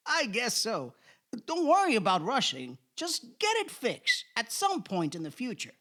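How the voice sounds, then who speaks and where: Man acting as an old shop boss, very high voice, wildly swooping, kindly, easygoing, audio drama. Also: wildly swooping pitch, measured pace, sharp tone, heavily acted.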